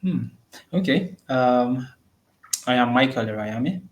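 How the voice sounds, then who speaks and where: A man's voice: medium pitch, lively intonation, measured pace. A young Nigerian man with a Nigerian accent, a podcast guest giving earnest, composed answers over a video call. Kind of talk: measured interview answers.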